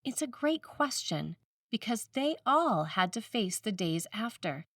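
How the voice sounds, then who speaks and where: A woman's voice: fairly high pitch, very animated, measured pace, fairly bright tone, no room sound, distant mic. Woman reading a sermon, dry sound. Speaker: priest reading a written sermon.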